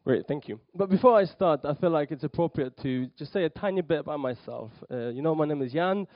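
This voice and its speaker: A man's voice: a little high, brisk, no room sound, highs cut off. A young man from Poland, brought up in London, giving a hesitant sermon in church with many ums and restarts. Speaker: lay preacher. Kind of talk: nervous church talk.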